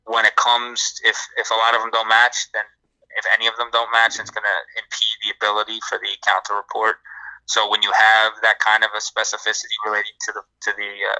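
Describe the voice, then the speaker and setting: A man's voice: on the low side, sharp tone, clear, resonant tone, no echo, low-quality recording. A man co-hosting a live credit podcast, explaining answers to listener questions at length and restarting his words often. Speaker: credit repair co-host.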